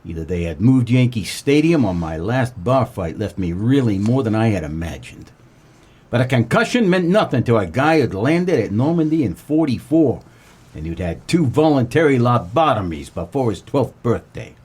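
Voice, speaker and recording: A man playing a wisecracking, deadpan 1940s private detective in a comedic noir radio play, with terse, slangy tough-guy delivery and a husky voice. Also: medium pitch, normal speed. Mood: deadpan, wistful. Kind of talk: comedic noir radio play, first-person narration and dialogue.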